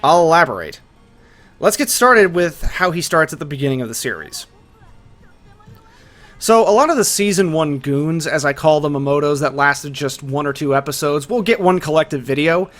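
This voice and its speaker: Higher-voiced man narrating over music. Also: animated, moderate pace, fairly bright tone. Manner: casual, conversational, with colloquial asides. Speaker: video essay host. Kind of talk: scripted video essay narration on anime. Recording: music behind the voice.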